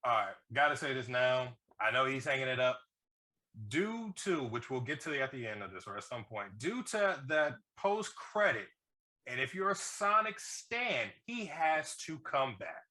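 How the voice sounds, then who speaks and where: A man co-hosting a casual review podcast, talking in an excitable, slangy way and swearing freely. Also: medium pitch, a little slow. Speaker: podcast co-host reviewing a film. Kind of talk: casual banter.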